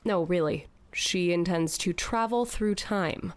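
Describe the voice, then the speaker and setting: A woman's voice: lowish voice, unhurried, close to an everyday voice. An actress playing a sardonic, lovelorn woman aboard a tall ship in an audio drama, sarcastic and given to dramatics. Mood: skeptical.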